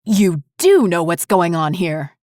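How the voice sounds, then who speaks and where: A woman's voice: on the low side, very animated, takes it slowly, darkish tone, dry recording, studio quality. A woman voicing a defiant, angry man fighting an alien invasion, speaking with urgency and indignation in an audio horror story. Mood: indignant.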